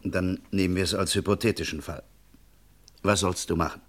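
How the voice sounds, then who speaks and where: Radio crime play: steady, insistent confidant, deep-pitched, reasons firmly and warns with emphasis. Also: male voice, normal speed. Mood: measured. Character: level-headed, insistent male friend giving stern advice. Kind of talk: vintage German radio crime play acting.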